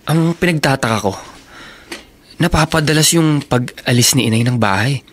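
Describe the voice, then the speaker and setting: A man acts a young Filipino elder brother, uneasy and suspicious, in a radio drama. His pitch is average for a man, with faint noise behind. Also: moderate pace, fairly bright tone, natural voice. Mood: puzzled, uneasy.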